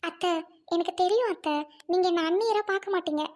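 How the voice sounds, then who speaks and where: Woman voicing a gentle young bride. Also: very high-pitched, fast talker. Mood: soft, pleading. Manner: soft, polite, keeps addressing mother-in-law.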